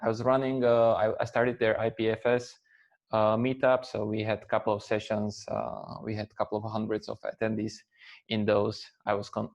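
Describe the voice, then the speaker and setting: A man in his thirties from Slovakia, an English speaker, talking as an interview guest in a halting way with many fillers and restarts. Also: lowish voice, darkish tone, dull audio. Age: 30s. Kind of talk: conversational interview.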